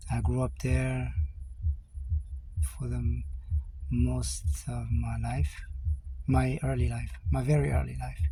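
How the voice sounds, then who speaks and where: Slow, gravelly man from Ethiopia. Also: medium pitch, dark tone, rough recording. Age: 40s. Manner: halting, many fillers and restarts. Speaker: vlogger telling his life story. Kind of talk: slow personal storytelling to camera. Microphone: camera mic.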